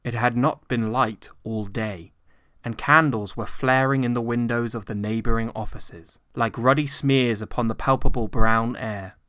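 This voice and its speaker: A man's voice: medium pitch, steady tone, a little slow, bright, forceful tone, no echo, studio quality. A man with a British accent giving a theatrical, carefully phrased dramatic narration of classic fiction. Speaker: narrator, actor.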